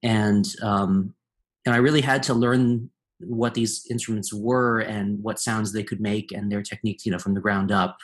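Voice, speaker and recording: A man talks as a guest in an easygoing conversation, his voice sitting a little low. He speaks thoughtfully, pausing and reaching for the right words as he goes. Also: fairly even intonation. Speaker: guest composer. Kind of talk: casual conversation.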